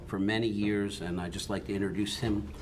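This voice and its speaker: A man with a fairly low voice speaks as college president at a board meeting. He is polite and a bit halting, with plenty of fillers, and clear background noise sits behind him. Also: little pitch movement. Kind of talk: formal meeting remarks.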